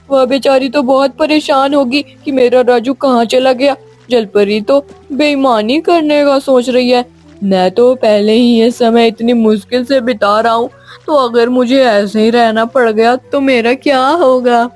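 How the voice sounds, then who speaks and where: Woman doing a fretting young boy for a cartoon story, high voice, faint music. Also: steady tone, a little slow, a little mellow. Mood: anxious. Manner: fretful, lamenting. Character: worried, self-pitying young boy turned into a mermaid.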